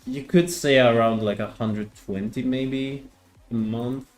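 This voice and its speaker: Male game streamer from Greece, unhurried and halting, restarts his words a lot, soft music behind. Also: medium pitch, fairly even intonation. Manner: hesitant, repeats words, answers chat. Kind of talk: casual live-stream chat.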